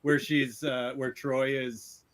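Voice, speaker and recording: A man's voice: medium pitch, level tone, slow pace, a crowd in the background. A man talking casually on a podcast as one of the cast. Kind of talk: casual chat.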